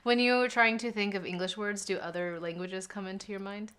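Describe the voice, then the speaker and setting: An American woman from California, asking measured questions in a casual chat. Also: mid-range voice, steady tone, a bit bright, smooth. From California, United States.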